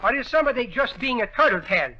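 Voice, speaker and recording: An actor playing a jolly Greek immigrant with an accent who chatters in mangled English, in 1930s radio comedy style. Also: male voice, very high-pitched, animated, fast talker, sharp tone, full character voice. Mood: jolly, chatty. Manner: garrulous, fractured English with malapropisms. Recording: dull vintage 1930s radio broadcast.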